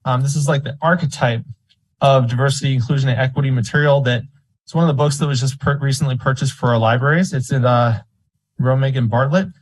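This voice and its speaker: A man's voice: medium pitch, quick pace, poor audio. A man speaking as a member of the public at a meeting, argumentative and indignant, making his case in a public comment. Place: school board meeting room.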